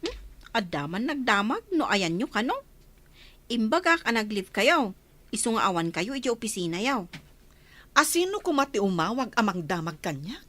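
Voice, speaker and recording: A woman's voice: fairly high pitch, very animated, moderate pace, bright, forceful tone, full character voice. A woman with an Ilocano accent playing a proud, commanding mistress of a mansion in a radio drama.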